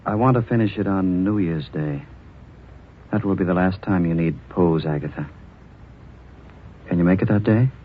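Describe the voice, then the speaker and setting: An actor playing an obsessed, cunning mad sculptor in an old radio drama, talking in low intense mutters. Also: male voice, normal speed, pauses often, slight background noise, lightly acted. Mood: courteous. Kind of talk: 1940s radio acting. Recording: dull lo-fi old radio recording.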